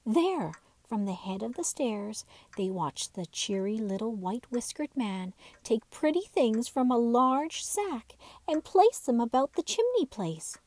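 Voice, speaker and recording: A woman reading a children's bedtime story aloud in expressive, scripted narration. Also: on the high side, unhurried, few pauses, no room sound. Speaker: storybook reader.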